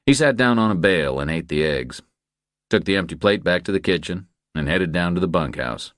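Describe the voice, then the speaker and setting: A man's voice: low voice, lively intonation, fairly quick, dry recording, clean studio sound. A man reading a thriller audiobook as scripted narration.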